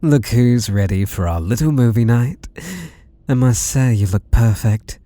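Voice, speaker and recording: A British man playing an obsessive, seductively playful masked killer, taunting in whispery, drawn-out questions close to the mic in an ASMR roleplay. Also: medium pitch, warm tone, no room sound, natural voice. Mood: smug, menacing. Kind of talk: ASMR roleplay, intimate monologue. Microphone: close microphone.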